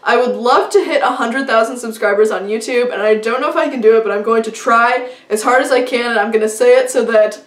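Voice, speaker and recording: A woman's voice: a little high, fairly quick, hardly any pauses, roomy sound, recorded from a distance. A young woman talking to camera in a casual, upbeat vlog, chatty and full of fillers. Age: young adult. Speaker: vlogger. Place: small indoor room before a plain wall.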